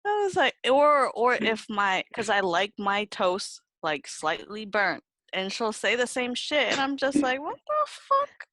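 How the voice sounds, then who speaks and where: A woman's voice: a little high, a little slow, few pauses, dry recording. A young woman co-hosting a casual podcast chat, swearing freely and acting out what people said to her as she tells stories. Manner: sweary, animated storytelling with acted-out quotes. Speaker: podcast host. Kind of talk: casual, unfiltered group chat.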